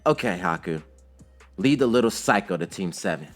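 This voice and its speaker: A man's voice: deep voice, lively intonation, normal speed, faint music behind. A man voicing an earnest, loyal, hot-headed young ninja with an emphatic delivery in an anime-style story. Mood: earnest resolve.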